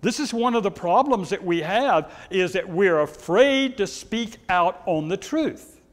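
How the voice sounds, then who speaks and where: Middle-aged male pastor, loud carrying voice, high and lively delivery, long church reverb. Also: measured pace. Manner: animated preaching, pacing and gesturing, rhetorical questions. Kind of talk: sermon. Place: church sanctuary, indoors.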